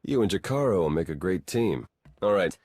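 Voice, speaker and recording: A man's voice: low-pitched, expressive, normal speed, darkish tone, breathy edge, no room sound, studio quality. An actor playing a wry, confident, easygoing male spy in a space opera video game. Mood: wry, bantering.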